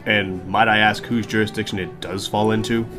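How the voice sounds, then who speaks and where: Man acting an adventuring leader, fantasy audio drama, confident, smooth-talking, lowish pitch, music behind. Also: steady tone, moderate pace, close to an everyday voice. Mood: insistent. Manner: polite, persuasive, formal with strangers.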